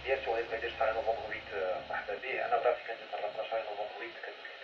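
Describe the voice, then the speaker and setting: A man's voice: lowish voice, flat delivery, racing. A man with a Moroccan accent hosting an amateur radio net informally, rambling and repeating words as he mixes two languages. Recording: noisy muffled radio, echoey, far off.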